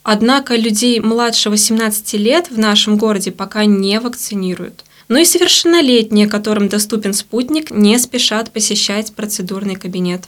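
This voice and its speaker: A woman's voice: mid-range voice, normal speed, few pauses. A woman reporter delivering a scripted radio news report.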